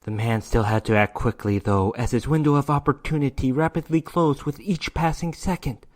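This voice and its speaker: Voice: mid-range voice, moderate pace. A narrator giving a dramatic scripted reading of a short story.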